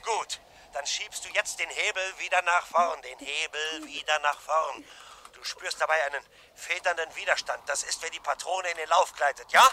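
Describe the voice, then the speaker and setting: Casting: tense husband in crime radio play, piercingly forceful, clipped instructions, faint noise. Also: male voice, fairly high pitch, normal speed. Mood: controlled, instructing. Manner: insistent, urgent, clipped commands, repeating himself. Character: tense, commanding husband coaching his frightened wife. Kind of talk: German radio crime drama acting.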